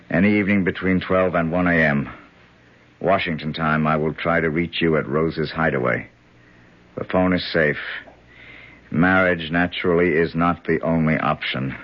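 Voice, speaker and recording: An actor playing a loyal, hard-nosed senator's aide in a 1970s radio drama, urgent and plain-spoken. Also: male voice, extremely low pitch. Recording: dull, band-limited vintage radio recording.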